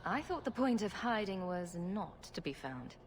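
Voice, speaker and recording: A woman's voice: medium pitch, big pitch swings, moderate pace, lightly acted. A woman playing a sarcastic female rogue champion in a video game, with a dry, wry delivery. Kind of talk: video game character acting. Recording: clear background ambient noise.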